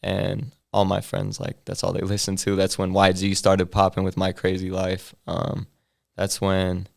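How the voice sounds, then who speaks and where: A young man, a hip hop artist, talking casually in an interview with a deep, bassy voice that he feels comes out mumbled, in laid-back slang full of fillers. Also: completely flat delivery, measured pace, gravelly.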